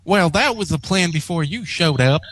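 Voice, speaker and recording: A man's voice: on the high side, animated, normal speed, fairly bright tone, pitched above the performer's own voice. A man voicing a flustered adult female farm pony, a big sister with a curt, awkward manner. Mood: irritated.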